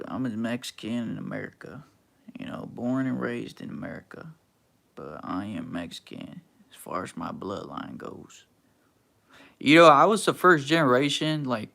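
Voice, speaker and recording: A man's voice: medium pitch, creaky, bright, forceful tone. A young man from Texas, hosting a casual livestream and answering chat in a laid-back, slangy, teasing way. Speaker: livestream host reading chat.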